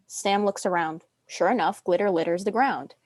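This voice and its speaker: A woman's voice: medium pitch, normal speed, dry recording. A young adult woman reading scripted stage directions as a narrator.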